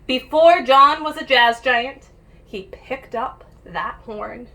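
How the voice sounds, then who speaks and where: A woman with a very bright, fairly high voice reads a picture book aloud as a teacher would for young pupils. She talks straight to the children in an encouraging way. The home-made recording has a slight background noise. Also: slow. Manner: addresses young listeners directly, encouraging. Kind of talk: children's read-aloud with asides and questions.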